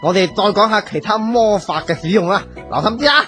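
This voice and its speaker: An actor with a Hong Kong Cantonese accent playing a cheerful magic-school teacher in a comic radio drama. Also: male voice, sits high, fairly quick, pushed and bright, faint music behind. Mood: instructive. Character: cheerful magic-school professor, a parody boy-wizard teacher.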